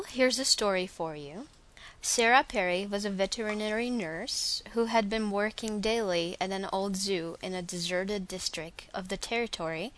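A woman's voice: mid-range voice, a bit bright, rough recording. A young woman from Slovakia with a Slovak accent, speaking English as a second language; she reads a passage carefully, then talks with some hesitation. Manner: careful, slightly hesitant with fillers and restarts. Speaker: dialect archive speaker. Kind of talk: reading a set passage, then casual self-introduction.

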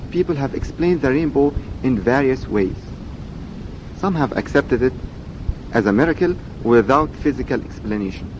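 A man in his twenties from Egypt with an Egyptian accent and Arabic as his first language, reading a set passage and then talking about himself with many fillers and repeated words. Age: 20s. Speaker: dialect archive speaker. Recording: dull recording with loud background rumble.

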